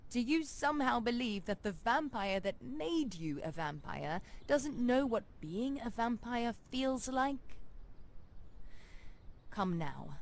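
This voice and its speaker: Haughty, slow-speaking vampire noblewoman. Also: on the high side, animated. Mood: exasperated scorn. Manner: haughty, deliberate, sardonic. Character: ancient noble female vampire, imperious, mocking, cold. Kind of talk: dramatic RPG voice acting.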